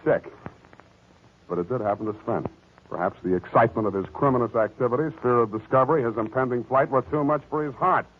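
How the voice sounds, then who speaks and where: An actor playing a wry, hardboiled private detective with a sardonic, mock-formal manner in 1940s radio style. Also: male voice, medium pitch, quick pace, a little hiss or hum, lightly acted. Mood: wry, pompous. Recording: vintage 1940s radio broadcast, narrow band.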